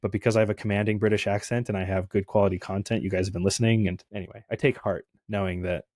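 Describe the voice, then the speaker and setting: Low-voiced young guy, indie dev, kinda rambly, says "like" a lot, dry sound. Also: little pitch movement, fast talker, darkish tone, dry recording. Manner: rambling, many fillers and restarts. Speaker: indie game developer guest. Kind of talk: casual interview chat.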